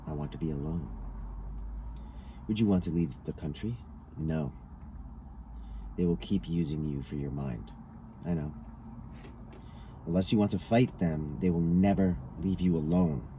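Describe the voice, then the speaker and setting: A man reading a scripted interview dialogue aloud, taking each speaker's lines in turn. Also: rumbling low. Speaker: reader of a written transcript. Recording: noticeable background noise.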